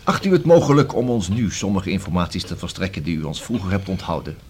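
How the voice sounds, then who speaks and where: Low-voiced, formal male police inspector. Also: expressive. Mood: courteous, businesslike. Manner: polite, measured, official. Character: formal, courteous police inspector questioning people. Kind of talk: radio drama dialogue. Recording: noisy vintage radio play recording.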